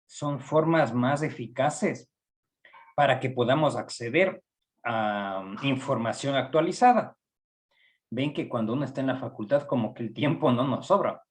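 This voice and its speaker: A middle-aged Ecuadorian man teaches a university training session, speaking in a measured, patient way. He pauses often and fills gaps with short hesitations. His voice sits at an ordinary middle pitch. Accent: Ecuadorian Spanish. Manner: measured, with frequent hesitations and fillers. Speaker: psychology professor giving a training session. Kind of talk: recorded online lecture.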